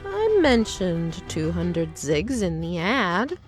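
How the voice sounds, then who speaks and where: A woman's voice: fairly low pitch, big pitch swings, takes it slowly, soft background music. An actress playing a shrewd, determined female lab assistant in a fantasy audio drama, assertive, persuasive and businesslike. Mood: cool, businesslike. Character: shrewd, determined woman lab assistant, street-smart schemer.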